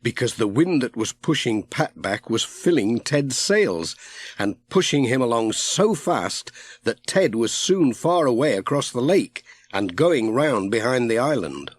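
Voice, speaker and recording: A male narrator reads a children's story at a slow, measured pace. His medium-pitched voice moves expressively up and down, with no room sound around it. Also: lively intonation, husky, dry recording. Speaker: audiobook narrator.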